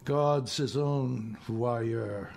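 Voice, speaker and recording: A man in his seventies with an American accent, reading his own poetry and prose in a deliberate, measured way. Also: mid-range voice, gravelly, a little mellow, slight background noise. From northeastern United States. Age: 70s. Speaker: poet reading own work.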